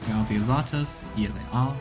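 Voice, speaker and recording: Male game streamer, mid-range voice, casual and musing, thinking aloud over soft music. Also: medium pitch, brisk. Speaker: streamer playing a video game. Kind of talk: casual let's play commentary.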